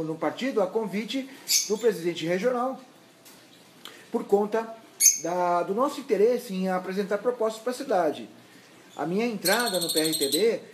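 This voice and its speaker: A mature man with a Santos Brazilian accent and a fairly high voice. He answers as a candidate being interviewed, emphatic but halting, often repeating words as he searches for the next. There is clear noise on the recording, made at home. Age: middle-aged or older. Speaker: mayoral candidate and university lecturer. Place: indoors at his home.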